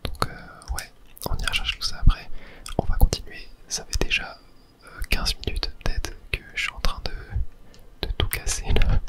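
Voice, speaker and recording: Whispering man, ASMR gameplay commentary. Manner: soft, unhurried, casual murmuring to viewers. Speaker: video game streamer. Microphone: close ASMR microphone.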